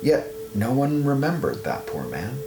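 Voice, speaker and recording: A man reads scripture as an audiobook narrator in a measured, solemn way, at a middling pitch. His voice carries a clear room echo, and guitar music plays underneath. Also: wildly swooping pitch. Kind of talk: scripted Bible reading.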